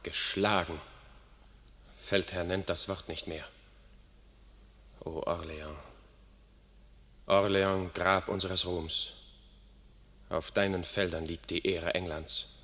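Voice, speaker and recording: An actor playing a proud, bitter English lord in a classic radio play, declaiming verse scornfully. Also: male voice, low voice, flat delivery, takes it slowly, long pauses, a bit bright, full character voice. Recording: long hall reverb.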